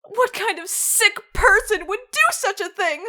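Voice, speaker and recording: A woman acts out an anxious female office colleague in an amateur comic dub. Her voice is extremely high and piercing, and she speaks slowly, sounding easily shaken. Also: lively intonation, pushed and bright, full character voice. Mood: shocked, frightened.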